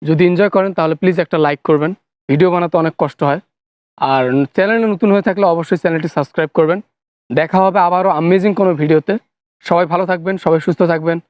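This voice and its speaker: A man's voice: a little high, steady tone, quick pace, darkish tone, clean tone, dry recording. A man speaking to viewers as a video creator in a channel sign-off. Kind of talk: scripted channel outro to viewers.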